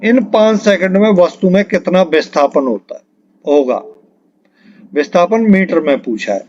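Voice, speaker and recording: A man's voice: high voice, animated, normal speed, a little mellow, muffled recording. A man teaching an exam-preparation lesson step by step, explaining patiently and repeating key terms as he works through questions.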